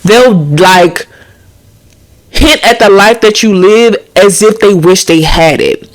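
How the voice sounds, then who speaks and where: Animated woman with a nasal cold. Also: mid-range voice, takes it slowly. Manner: animated, preachy, acts out quoted friends. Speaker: host. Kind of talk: casual advice talk to camera.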